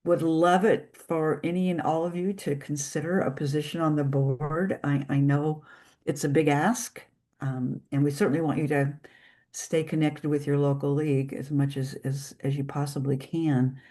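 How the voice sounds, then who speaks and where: Low-voiced woman guest presenter. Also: flat delivery, moderate pace, mellow. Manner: hesitant, with fillers and restarts. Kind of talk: remote presentation wrap-up.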